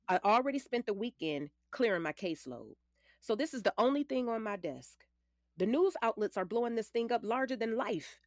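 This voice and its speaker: A woman's voice: medium pitch, dry recording, studio quality, lightly acted. A woman playing a confident, matter-of-fact lawyer in her late forties in an audio drama, firm, precise and commanding. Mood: insistent.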